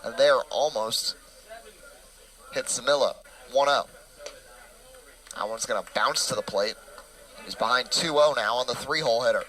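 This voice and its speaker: A young man calling a college baseball game play-by-play, brisk and packed with stats. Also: mid-range voice, a bit bright, rough recording. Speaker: play-by-play announcer.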